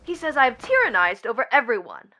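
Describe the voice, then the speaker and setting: A woman's voice: on the high side, wildly swooping pitch, quick pace, sharp tone, a little hiss or hum, heavily acted. A woman playing a scornful, impudent young stepdaughter in a stage play, speaking with biting, declamatory drama. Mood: scornful, accusing. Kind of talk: theatrical stage-play reading.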